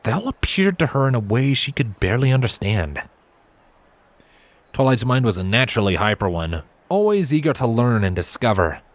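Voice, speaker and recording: A man's voice: mid-range voice, big pitch swings, normal speed, no room sound. A man reading a story aloud as narrator, in scripted storybook narration. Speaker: narrator, fan fiction reader.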